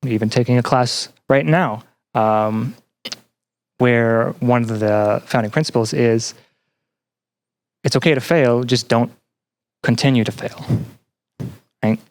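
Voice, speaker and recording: Earnest young man, medium-pitched voice. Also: slow pace, pauses often. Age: young adult. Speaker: podcast guest, student and teaching assistant. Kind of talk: conversational interview answers.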